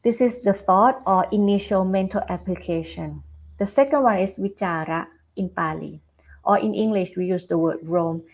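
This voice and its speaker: A Buddhist nun with a Thai accent, giving a calm dharma talk over a video call, unhurried with fillers and restarts. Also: female voice, medium pitch, poor audio. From Thailand. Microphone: video call webcam.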